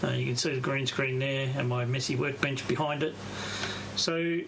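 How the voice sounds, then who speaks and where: A man, an amateur radio operator giving a casual, halting, unscripted walkthrough on a live stream. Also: mid-range voice, steady tone, gravelly, background noise. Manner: halting, unscripted, thinking aloud. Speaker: amateur radio operator presenting his station.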